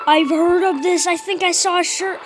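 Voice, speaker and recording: A woman with a high voice chats casually over clearly audible music, reacting to a video. She sounds a little under the weather and talks in informal, slangy remarks. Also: steady tone, moderate pace. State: a bit sick. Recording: music behind the voice. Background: music from the video being watched.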